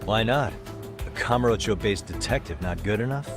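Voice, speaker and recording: Low-voiced, laid-back private detective. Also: male voice, animated, normal speed. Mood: persuasive. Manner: casual, wry, reasoning. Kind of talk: video game voice acting. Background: background music.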